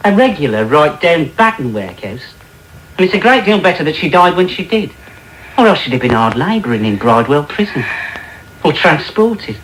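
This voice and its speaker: Sneering teenage bully. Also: male voice, a little high, big pitch swings, moderate pace, a bit bright. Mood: mocking, cruel. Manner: taunting, mock-pompous. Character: sneering, bullying teenage male apprentice, cocky and cruel. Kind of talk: British radio drama acting. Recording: noisy, crackly medium-wave radio tape.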